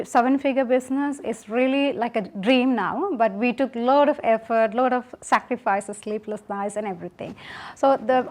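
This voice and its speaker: A woman speaking sincerely and at a measured pace as a co-founder guest in a podcast interview. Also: a little high, darkish tone.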